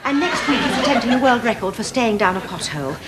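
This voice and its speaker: A woman's voice: fairly high pitch, expressive, fast, a loud crowd, natural voice. A woman playing a long-suffering, wryly scheming English suburban wife in a British TV sitcom. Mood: dryly scheming. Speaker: sitcom actress. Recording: noisy old TV sitcom recording.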